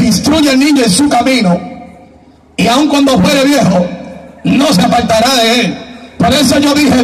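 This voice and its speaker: High-pitched, fervent Caribbean Spanish male preacher. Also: distant mic, rough recording. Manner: impassioned, shouting, repetitive, drawn-out emphatic phrases. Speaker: evangelical preacher giving testimony. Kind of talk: fervent Pentecostal sermon with refrains.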